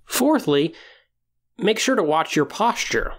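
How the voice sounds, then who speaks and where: A man's voice: high-pitched, very animated, slow pace. Encouraging male podcast host. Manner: encouraging, addresses listeners directly. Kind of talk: semi-scripted podcast talk on tabletop roleplaying.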